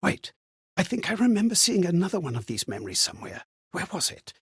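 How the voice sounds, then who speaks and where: An actor playing a frail, forgetful old man in a video game, anxious and kindly, with a hesitant, plaintive delivery and a husky, breathy voice. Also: high-pitched, very animated, fast talker, fairly bright tone, no room sound, studio quality, exaggerated voice. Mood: confused, melancholy. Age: older. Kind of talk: theatrical video game character acting.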